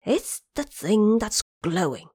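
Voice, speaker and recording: A woman's voice: mid-range voice, big pitch swings, takes it slowly, mellow, husky, full character voice. A woman voicing an old male Dragon King, nervous and deferential, in an audio drama.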